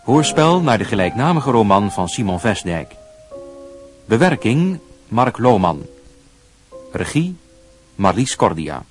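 Animated formal male announcer over music. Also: on the low side, unhurried, pauses often. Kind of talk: scripted radio drama title announcement.

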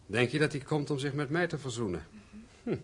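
A man's voice: mid-range voice, expressive, fairly quick, a bit bright, slightly rough. An actor playing a gloomy, stubborn, resentful man in a radio play, speaking with brooding emphasis. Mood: bitter, resolute. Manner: brooding, heavy-hearted, emphatic. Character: gloomy, resentful, stubborn estranged son and father.

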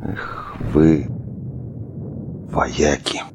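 A man's voice: extremely low pitch, level tone, drawn-out words, full character voice. A man playing a wounded, tired political officer in a wartime audio drama, terse, grim and subdued. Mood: grim, resigned. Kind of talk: Russian audio drama acting.

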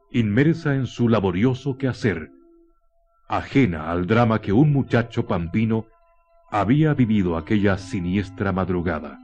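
A man giving dramatic scripted radio narration in a solemn, deliberate manner. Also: fairly low pitch, a little mellow.

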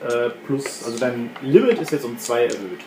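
A man's voice: medium pitch, expressive, moderate pace. A man running a tabletop role-playing game, explaining scenes and rules in casual, colloquial speech. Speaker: game master. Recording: roomy, clearly noisy room recording.

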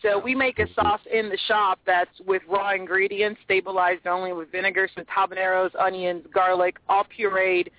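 A woman's voice: medium pitch, little pitch movement, moderate pace, few pauses, no room sound. A woman from Puerto Rico chatting casually as a guest chef on a radio call-in interview. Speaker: guest chef, restaurant owner. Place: remote phone call into a radio show. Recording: tinny, narrow phone-line sound.

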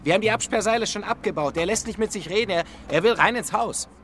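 A man's voice: sits high, fast, a bit bright, soft background music. An actor playing a flustered junior policeman reporting to his boss in a German radio crime drama. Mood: flustered, urgent.

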